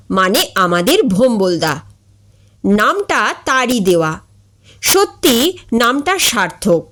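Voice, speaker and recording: A woman reading a comic story aloud in an expressive, deliberate narrating style. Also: on the high side. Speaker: story reader.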